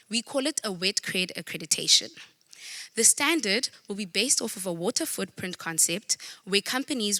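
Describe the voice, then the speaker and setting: A young woman with a South African accent, speaking as a student presenter giving a prepared pitch. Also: pushed and bright. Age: young adult.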